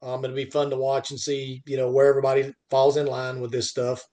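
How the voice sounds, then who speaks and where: A man's voice: medium pitch, completely flat delivery, mellow. A man in an unscripted industry discussion, restarting phrases often and making points emphatically, posing questions and answering them himself. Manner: halting, repeats phrase starts. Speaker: podcast host and freight industry commentator.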